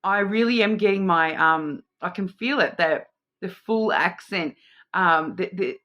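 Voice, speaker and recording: A woman's voice: on the low side, measured pace, fairly bright tone, dull audio. A middle-aged Australian woman with an Australian accent, giving an intuitive card reading to camera with lots of ums and restarts. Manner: rambling, many ums and restarts. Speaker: tarot card reader.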